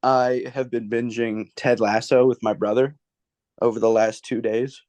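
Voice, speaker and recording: A man's voice: mid-range voice, little pitch movement, moderate pace, darkish tone, no echo. A young man co-hosting a casual sports podcast, laid-back, with dry quips. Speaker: podcast co-host.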